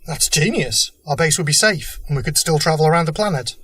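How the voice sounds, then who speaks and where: A man's voice: fairly high pitch, lively intonation, brisk, bright, forceful tone, husky, no echo, full character voice. A man playing a principled, desperate but humane rebel cell leader in an audio drama, speaking seriously and earnestly. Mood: grave, earnest.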